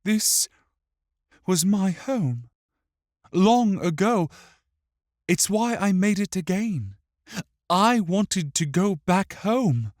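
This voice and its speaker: A tormented young ghost boy. The voice sits very high and swings with emotion. He pleads like a frightened child who has suffered terribly. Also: expressive, extremely slow, pauses often, no echo, clean studio sound, exaggerated voice. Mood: wistful sorrow. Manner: pleading, emotional, shrill when upset. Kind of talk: dramatic audiobook character acting.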